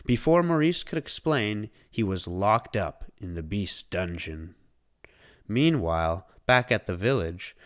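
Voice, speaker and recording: A man reading a children's storybook aloud as scripted, expressive narration. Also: fairly low pitch.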